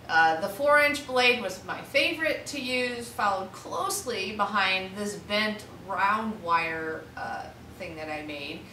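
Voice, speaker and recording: A woman's voice: medium pitch, bright, forceful tone, a little hiss or hum. Chatty upbeat woman reviewing a tool. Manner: chatty and upbeat, laughs often, with frequent uh fillers. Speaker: prop maker reviewing a tool. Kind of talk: casual product review with voice-over. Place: home workshop studio, indoors.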